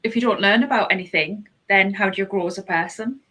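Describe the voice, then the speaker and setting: A woman's voice: medium pitch, quick pace, pushed and bright. A woman from Scotland hosting a live podcast, chatting casually and reading out viewer comments, laughing often and restarting her sentences. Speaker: podcast host.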